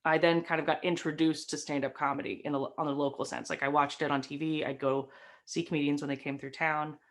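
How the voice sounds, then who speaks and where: A woman's voice: low voice, level tone, brisk. A young woman with a light Southern American accent, chatting as an interview guest and telling stories with many fillers, recorded on a webcam in a decorated home room. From Southern United States. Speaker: guest, stream host and comic. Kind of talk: casual interview chat.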